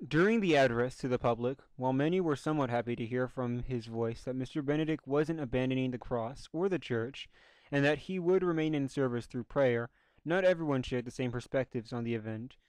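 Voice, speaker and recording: A man's voice: medium pitch, fairly quick, a little mellow, smooth, dry recording. A man reading a scripted news report as narrator.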